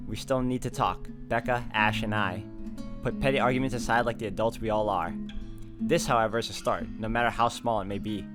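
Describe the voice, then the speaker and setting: A man narrating visual novel text aloud as a let's player, in an expressive storytelling style. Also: medium pitch. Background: guitar music.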